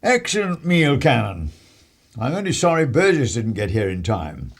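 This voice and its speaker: A man's voice: mid-range voice, sing-song, moderate pace. A man playing a pompous, scornful Conservative army captain from 1930s England in a radio play, with an English accent. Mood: scornful, blustering. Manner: pompous, blustering.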